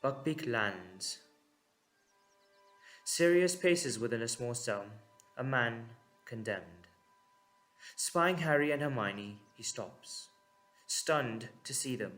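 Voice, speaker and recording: Male narrator, dramatic scripted storytelling, unhurried short phrases, suspenseful, medium pitch, music underneath. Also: animated, a bit bright.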